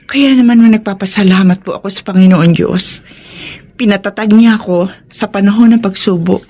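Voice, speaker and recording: A woman's voice: medium pitch, normal speed, mellow, lightly acted. An actress playing a devout, loving single mother in an earnest, heartfelt Filipino radio drama style. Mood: grateful. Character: devout, loving, self-sacrificing single mother, tearful and grateful.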